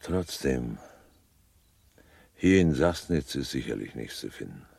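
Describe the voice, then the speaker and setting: A very low, dry-sounding man of the seaside. His pitch moves in lively swings as he gives deferential, leisurely advice. He comes across as folksy and obliging. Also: takes it slowly, gravelly, no echo, exaggerated voice. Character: obliging male seaside local advising a lady on lodgings. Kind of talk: literary radio play acting.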